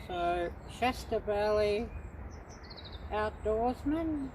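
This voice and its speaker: Slow-talking woman chatting on a livestream. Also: medium pitch, lively intonation, a little mellow, a little hiss or hum, low-quality recording. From Australia. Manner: unhurried, chatty, many ums. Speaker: livestream host. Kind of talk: casual livestream chat with viewers.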